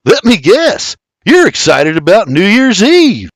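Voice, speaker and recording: Guy doing a cheeky irishman with an irish lilt, way higher than his normal voice and lively, dry sound. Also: unhurried, no echo, exaggerated voice. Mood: wry. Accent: Irish, as played. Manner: wry, sarcastic retorts. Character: sarcastic younger Irishman, quick and teasing.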